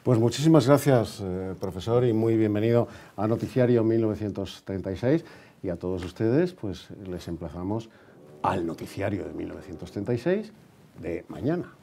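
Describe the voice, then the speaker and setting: A man's voice: lowish voice, expressive, moderate pace, warm tone. A man from hosting a broadcast interview in a conversational, somewhat hesitant way, repeating words as he goes. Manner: hesitant, repeats words, checks with short tags. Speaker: TV programme host, interviewer.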